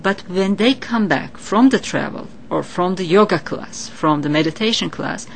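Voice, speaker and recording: A woman's voice: on the low side, lively intonation, normal speed, gravelly, slight background noise. A woman from Turkey with a Turkish accent, earnestly explaining her psychic work as an interview guest. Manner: earnest, explanatory, with non-native grammar. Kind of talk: interview answers.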